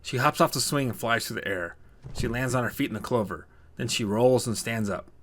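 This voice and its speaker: A man's voice: medium pitch, fairly quick, fairly bright tone, a little hiss or hum. A man narrating a scripted short story as a storyteller.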